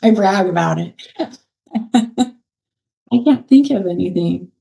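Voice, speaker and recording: A woman's voice: mid-range voice, slow pace, creaky, mellow. A middle-aged woman, a fitness trainer, answering interview questions in a hesitant, casual way and laughing often.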